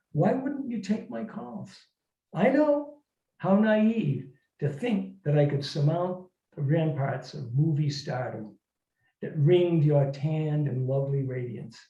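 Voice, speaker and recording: A man speaking as an author at a literary reading, in a reflective, halting manner. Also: a little high, mellow. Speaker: author reading and discussing own work. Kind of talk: informal literary talk and story reading to an audience. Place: echoey room, recorded from a distance. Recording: muffled, echoey room, distant microphone.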